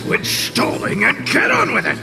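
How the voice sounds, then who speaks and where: A man voicing a cocky, scornful little demon in a video game, brash and sneering, with a gravelly voice. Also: very high-pitched, huge pitch swings, normal speed, over music, heavily acted. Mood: gruff, grudging.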